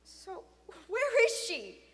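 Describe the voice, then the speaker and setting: Frightened young boy, female narrator, grieving and defensive, halting speech, bright high tone, dramatic audiobook read. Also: expressive, in a large hall, exaggerated voice. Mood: shocked, distressed. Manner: halting, stammering delivery. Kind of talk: dramatic audiobook character acting.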